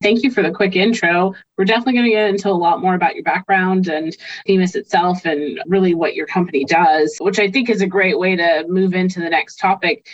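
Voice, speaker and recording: A woman's voice: lowish voice, fairly even intonation, a little fast. A woman guest-hosting a business podcast, asking polished, upbeat interview questions. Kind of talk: scripted-sounding interview hosting. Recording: dull lo-fi audio with faint music.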